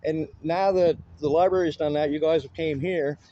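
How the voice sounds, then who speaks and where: A man speaks in a high, soft-edged voice. He argues his points insistently in plain, colloquial language. The sound is muffled, with faint noise, as picked up by a handheld camera. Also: steady tone, moderate pace. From Canada. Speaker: citizen auditor filming police. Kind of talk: casual argumentative conversation.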